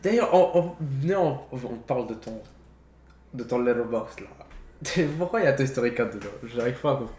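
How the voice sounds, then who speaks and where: A man's voice: medium pitch, expressive, moderate pace, darkish tone. A young man chatting casually about films in slangy speech, dropping English phrases into his sentences. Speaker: podcast co-host. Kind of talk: casual film chat. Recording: roomy echo, faint background noise.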